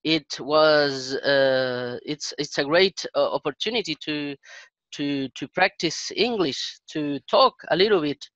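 A middle-aged man with a Chilean accent and Spanish as his native language, chatting in halting English and repeating phrases as he searches for words. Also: fairly high pitch, fairly bright tone, no echo, muffled recording. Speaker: English learner. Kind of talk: casual conversation practice. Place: indoor room on a video call. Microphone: headset.

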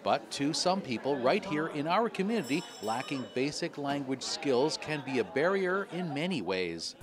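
A man narrating a scripted local news report in a measured, upbeat way. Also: a little high, sing-song, crowd noise.

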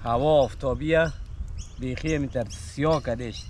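A man's voice: medium pitch, slight background noise. A man in his thirties with an Afghan Dari accent, chatting casually to the camera outdoors at a park picnic while cooking and tasting food. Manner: relaxed, chatty, talking to camera while eating. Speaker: vlogger.

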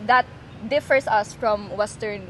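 A woman's voice: medium pitch, unhurried, fairly bright tone. A twenty-year-old woman with a Filipino accent chatting as an interview guest, retelling things animatedly, outdoors with some street noise. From Philippines. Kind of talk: casual chat. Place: outdoor bench in a public plaza.

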